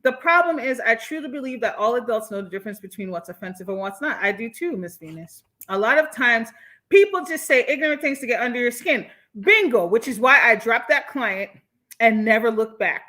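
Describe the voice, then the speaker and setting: A woman with an American accent hosting a casual livestream, reading and answering viewer comments in an animated, candid, slangy way. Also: a little high, fairly quick. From born in Jamaica, moved from the United States. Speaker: livestream host.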